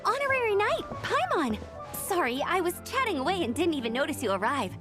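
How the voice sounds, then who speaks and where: A chatty young knight. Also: female voice, extremely high pitch, sing-song, fairly quick, over music. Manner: chatty and upbeat. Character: friendly young female knight organizer. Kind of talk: game voice acting.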